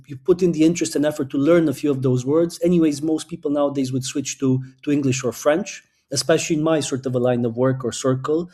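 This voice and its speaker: Man, mellow warm tone, podcast guest, thoughtful, long explanations, frequent restarts. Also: on the high side. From Romania. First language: Romanian. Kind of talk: casual interview talk.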